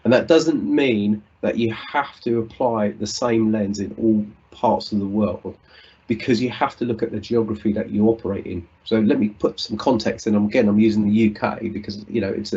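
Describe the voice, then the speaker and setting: A man's voice: lowish voice, warm tone, breathy edge, dull audio. A British man giving an earnest, reflective conference talk over a video call, often restarting his sentences. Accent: British English. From United Kingdom. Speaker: conference speaker.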